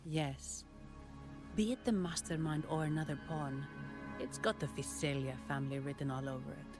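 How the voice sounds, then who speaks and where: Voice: medium pitch, steady tone, moderate pace, over music, lightly acted. A performer playing a composed, inquisitive investigator in a video game, with an analytical, matter-of-fact manner. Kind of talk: video game dialogue acting.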